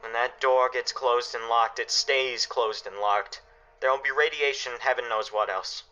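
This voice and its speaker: An actor playing a stern, anguished father guarding his family, speaking gravely and emphatically in a radio play. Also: mid-range voice, fairly even intonation, moderate pace, pushed and bright, muffled recording, close to an everyday voice. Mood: firm, curt. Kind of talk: dramatic radio play acting.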